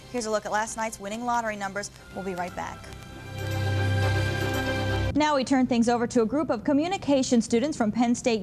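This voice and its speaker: A woman anchoring a morning TV newscast with scripted links between segments. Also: a little high.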